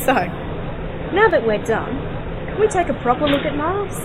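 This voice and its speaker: A woman's voice: sits high, big pitch swings, normal speed, a crowd in the background, noisy background. A woman playing a curious, stubborn young niece and travelling companion in a radio drama, with an inquisitive, questioning delivery. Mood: playful. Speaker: actor in a radio play.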